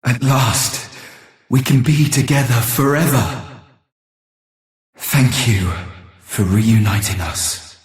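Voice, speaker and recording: A devoted lover from a fantasy game, acted by a man, tender and melodramatic. He speaks slowly, distant and washed in echo. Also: mid-range voice, lightly acted. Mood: yearning, tender. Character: lovelorn, devoted young male lover. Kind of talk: video game character acting. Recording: echoey, distant from mic.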